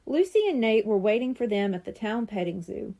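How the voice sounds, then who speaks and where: A woman narrating a children's storybook in an animated storytelling manner. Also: mid-range voice, fairly quick, gravelly. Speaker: audiobook narrator.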